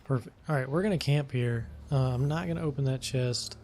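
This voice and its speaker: A man's voice: medium pitch, a little fast, darkish tone, a little hiss or hum. A man hosting a let's play, chatting casually as he plays and thinking aloud with many hesitations. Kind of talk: casual gameplay commentary. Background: game music.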